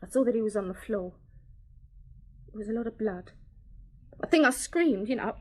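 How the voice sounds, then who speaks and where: A woman's voice: medium pitch, animated, moderate pace, natural voice. An actress playing a grieving, defensive young woman in a radio drama. She answers sharply and swears. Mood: shaken.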